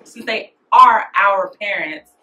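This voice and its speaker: A woman's voice: mid-range voice, slow, sharp tone, low-quality recording. A woman in her twenties, a guest on a casual chat show, talking earnestly with restarts then firm emphasis. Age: 20s.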